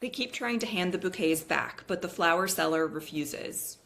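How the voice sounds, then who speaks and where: A woman's voice: lowish voice, lively intonation, normal speed, vocal fry, fairly bright tone. A woman reading the scene directions of a script as narrator at a table read.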